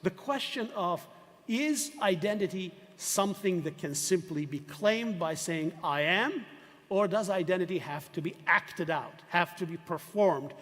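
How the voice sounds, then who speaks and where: An older man lectures in a discursive, emphatic way and often poses rhetorical questions to his audience. His voice sits a little high for a man. The sound carries a long reverb from a lecture hall. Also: lively intonation, unhurried, a bit bright. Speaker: professor lecturing. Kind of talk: university lecture.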